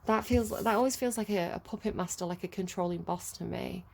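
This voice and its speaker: A woman's voice: mid-range voice, a little fast, a little mellow, a little hiss or hum. A British woman giving a casual tarot reading, chatty and rambling, laughing often. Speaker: tarot reader.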